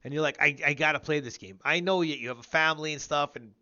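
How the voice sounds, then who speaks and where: A man's voice: a little high, quick pace, pushed and bright, highs cut off. A man of about fifty hosting a casual gaming interview, talking in a rambling, repetitive, emphatic way. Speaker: show host interviewing a guest.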